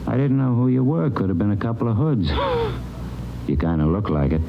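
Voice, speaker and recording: A man's voice: lowish voice, sing-song, brisk, gravelly. An actor playing a sullen, cocky burglary suspect in his twenties in a 1950s radio crime drama, curt and full of defiant wisecracks. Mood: defensive. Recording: muffled, noisy old radio recording.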